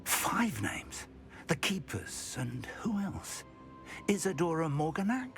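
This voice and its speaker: A wry, thoughtful wizard mentor in a fantasy game. His pitch swings widely as he talks in an articulate, dry manner over faint music. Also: big pitch swings, slow pace, a bit bright, full character voice. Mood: concerned, shocked. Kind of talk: scripted video game acting.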